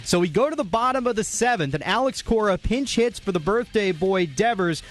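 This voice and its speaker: High-pitched American man recapping baseball highlights. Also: expressive, brisk. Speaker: radio sports recap host. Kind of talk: sports highlights recap narration.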